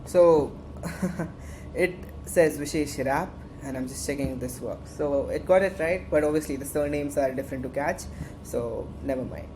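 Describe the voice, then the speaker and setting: A man's voice: on the high side, moderate pace, mellow. Man, Indian accent, casual tutorial instructor, repeats himself for emphasis, noisy background. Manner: conversational, repeats phrases for emphasis. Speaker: workshop instructor. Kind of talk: casual screen-share tutorial.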